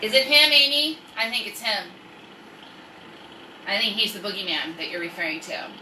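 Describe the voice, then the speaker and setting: A woman with a mid-pitched, sharply bright voice chats casually as a livestream host. She rambles and interrupts herself as she goes. The sound is roomy and off-mic, with faint noise behind her. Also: normal speed, distant mic. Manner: rambling, self-interrupting, animated asides. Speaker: online storytime host and craft seller.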